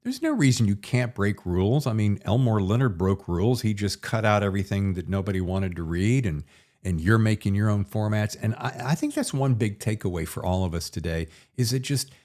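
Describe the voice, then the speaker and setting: A man's voice: on the low side, lively intonation, brisk, a little mellow. A man hosting a podcast in a casual, chatty style, with a folksy, effusive showman's way of talking. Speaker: podcast host.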